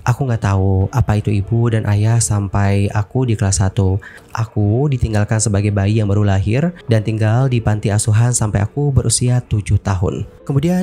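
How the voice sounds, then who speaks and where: A man's voice: fairly low pitch, warm tone. A man in his twenties with an Indonesian accent, narrating celebrity news in a casual, chatty vlog style and talking straight to his viewers. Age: 20s. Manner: chatty, addresses viewers as guys. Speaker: K-pop news YouTuber.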